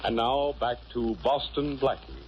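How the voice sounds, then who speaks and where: A man's voice: mid-range voice, fairly even intonation, a little slow, loud music behind the voice. A man with an American accent giving scripted narration as the announcer of a 1940s radio drama. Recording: muffled old radio recording.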